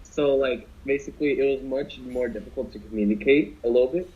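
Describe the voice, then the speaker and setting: A man's voice: medium pitch, a little fast. A young man casually telling a story to friends on a podcast, rambling with many fillers and restarts. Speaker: podcast co-host. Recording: dull lo-fi podcast audio.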